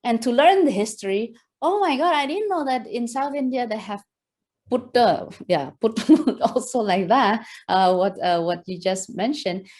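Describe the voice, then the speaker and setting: A woman's voice: a little high, lively intonation, normal speed, darkish tone. A woman from West Java with an Indonesian accent, giving a lecture and quizzing her audience with questions. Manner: engaging, quizzes the audience, frequent rhetorical "right?"; enthusiastic, laughs often. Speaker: guest lecturer.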